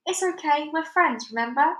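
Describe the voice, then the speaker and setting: A woman's voice: sits high, expressive, normal speed, a bit bright, pitched above the performer's own voice. A woman voicing a brave, talkative young girl with an eager manner. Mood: reassuring. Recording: roomy echo.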